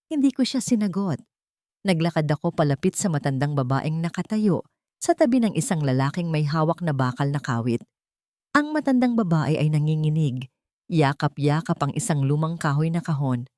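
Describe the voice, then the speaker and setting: Woman doing dramatic audio story narration, lowish voice, theatrical n measured, very dry sound. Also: darkish tone, dry recording.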